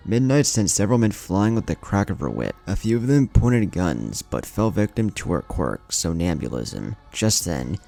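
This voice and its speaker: A man's voice: low-pitched, vocal fry, darkish tone. A man reading a scripted fan fiction story as its narrator.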